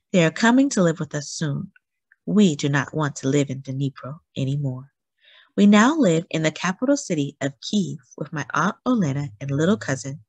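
Woman, classroom read-aloud host; slightly breathy, dry close recording, careful storybook delivery. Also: sits low, no echo. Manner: slow, careful storybook reading with lively rises on key words. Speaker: children's book reader.

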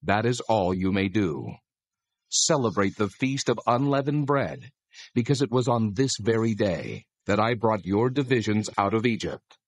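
A man playing God as a solemn, authoritative deity, giving measured instructions in a dramatized scripture reading. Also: lowish voice, animated, no room sound. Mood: solemn, commanding.

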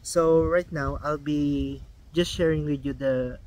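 A man's voice: on the high side, steady tone, slow, darkish tone. A young Filipino man with a Filipino accent and Filipino as his first language, vlogging casually, with many ums and restarts. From Philippines. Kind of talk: casual vlog talk.

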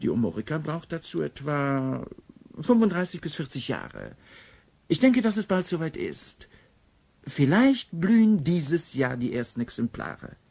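Husky, lively forestry expert. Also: male voice, mid-range voice, full character voice. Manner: precise, explanatory. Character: knowledgeable, courteous forestry expert with doctorate. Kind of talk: German radio drama acting.

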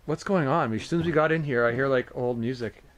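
A man's voice: mid-range voice, fairly quick. A man from Canada chatting casually as he explores for his vlog. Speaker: urban exploration vlogger. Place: inside abandoned building. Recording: noisy location sound with clicks.

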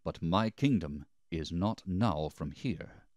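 A man playing a steadfast, solemn holy man held prisoner, speaking in a measured way in a dramatised scripture reading. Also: low voice, expressive, a little mellow, dry recording, clean studio sound.

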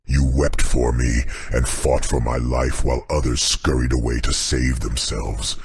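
A man voicing an imperious, vengeful demon king in a very deep, booming, slow and pompous audiobook performance. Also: fairly even intonation, husky, no room sound, clean studio sound, full character voice. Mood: solemn, grateful. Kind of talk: audiobook character acting.

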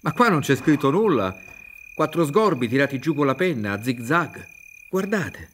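Man acting brusque young doctor, lively intonation. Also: medium pitch, normal speed, slight background noise, close to an everyday voice. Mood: brusque, incredulous. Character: young village doctor, educated, brusque, incredulous. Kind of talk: radio drama acting. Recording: insect and frog night ambience. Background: night crickets and frogs.

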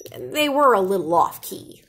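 A woman in her thirties reading a children's picture book aloud in an expressive, deliberate way, like a teacher. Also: medium pitch, slight background noise. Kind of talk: animated children's storybook read-aloud. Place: indoor classroom.

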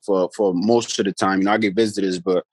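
A man, a guest telling stories in a relaxed, rambling way on a video call from his phone at home. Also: low voice, quick pace, dry recording. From the Bronx, New York. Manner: laid-back, rambling, many "you know what I mean" tags. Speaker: guest, pro basketball player. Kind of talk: casual storytelling chat. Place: room at home, indoors. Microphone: phone camera held close on a video call.

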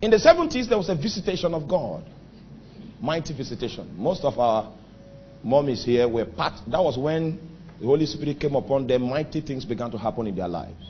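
Nigerian man preaching with lively swings. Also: dull audio, slight background noise. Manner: emphatic preaching with sudden pitch and volume swings, drawn-out phrases. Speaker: pastor. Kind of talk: sermon.

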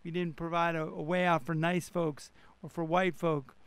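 High-pitched gravelly man preaching on radio. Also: little pitch movement, moderate pace, fairly bright tone, dry recording. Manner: emphatic, repeats phrases for stress. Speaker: pastor and radio co-host. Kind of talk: animated radio preaching and banter.

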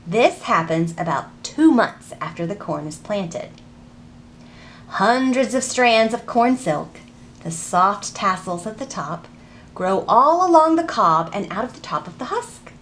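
A woman in her thirties hosting a children's storytime, reading aloud and teaching in an animated, deliberate, sing-song way. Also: mid-range voice, slight background noise. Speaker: children's librarian and storytime host. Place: public library children's section.

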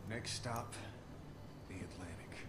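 A man's voice: low voice, takes it slowly. An actor playing a resigned, subdued and bitter male survivor in a dramatic video game. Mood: glum. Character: glum, resigned male train-driving survivor. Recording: echoey, loud engine noise behind.

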